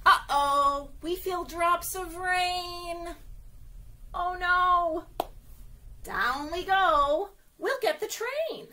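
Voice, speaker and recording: A woman's voice: very high voice, expressive, drawn-out words, sharp tone. A woman reading a picture book aloud at story time for young children, with sing-song, exaggerated expression and frequent little check-in questions.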